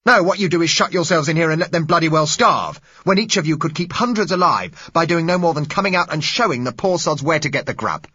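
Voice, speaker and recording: A fiery street agitator of about thirty, as a male narrator puts him on, loud and raised well above the reader's own low voice. His speech mixes the rough and the educated, harsh and emphatic. The audiobook recording is dry and a little dull. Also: lively intonation, a little fast, hardly any pauses, no room sound, full character voice. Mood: angry. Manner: rough yet educated, shouting passionately.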